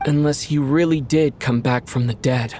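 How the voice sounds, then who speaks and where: Man acting mid-pitched pensive investigator. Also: steady tone, normal speed, darkish tone, slight background noise, natural voice. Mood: pondering.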